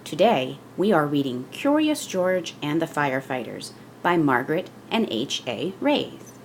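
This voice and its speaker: A woman reading a children's picture book aloud as scripted narration, with expressive storytelling delivery. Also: sits low, measured pace, a little hiss or hum.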